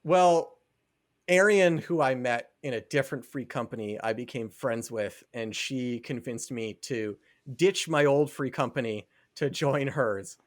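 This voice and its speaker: A man's voice: medium pitch, measured pace, no echo. Casual male podcast co-host, dry close sound. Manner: rambling, filler-heavy conversational delivery with frequent "like". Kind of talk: casual chat.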